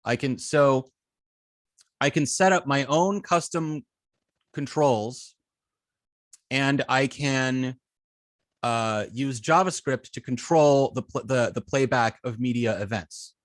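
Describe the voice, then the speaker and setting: A man with a mid-pitched voice teaches web development through a live demonstration. He speaks informally and haltingly, thinking aloud between steps. The sound is dry, with no room echo. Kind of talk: live coding demonstration, explaining step by step.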